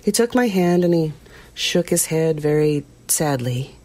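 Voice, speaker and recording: A woman's voice: sits low, lively intonation, a little slow, dark tone. An actress playing a troubled woman recounting a frightening ordeal in an audio drama, reflective and hesitant. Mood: subdued, haunted.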